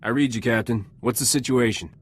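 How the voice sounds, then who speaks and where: A man's voice: medium pitch, brisk, breathy edge, natural voice. Man playing a cocky, charming smuggler captain. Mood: charming, assured. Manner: flippant, flirtatious, cool. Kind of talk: video game cutscene acting.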